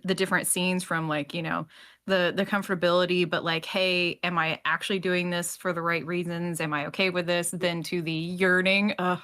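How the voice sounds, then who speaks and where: A woman's voice: fairly low pitch, pushed and bright. A woman hosting a casual podcast discussion, talking effusively and slipping into little acted-out asides. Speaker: host of a fiction podcast discussion. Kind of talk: casual panel chat.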